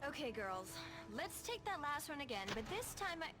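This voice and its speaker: A high, haughty teenage American cheerleader for a video game. She is bossy and sarcastic, with clear music underneath. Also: very animated, moderate pace. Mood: bossy, exasperated. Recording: music over the voice.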